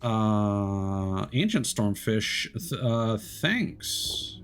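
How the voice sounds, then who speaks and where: A man with a fairly low voice chats loosely while streaming a game, trailing off and restarting his sentences, with the odd curse thrown in. Game music plays clearly behind him. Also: very animated, very slow. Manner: rambling, self-interrupting, mild swearing. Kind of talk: casual gameplay commentary.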